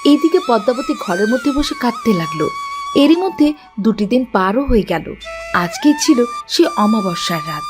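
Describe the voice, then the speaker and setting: A woman's voice: on the high side, wildly swooping pitch, normal speed, over music. A woman narrating a dramatic fairy tale for a cartoon in a scripted storytelling style.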